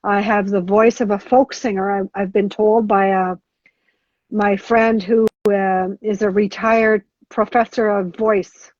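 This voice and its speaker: A middle-aged woman answering as a guest on a podcast interview, talking haltingly with many restarts and fillers. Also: medium pitch, flat delivery, darkish tone, dry recording. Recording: dull lo-fi sound.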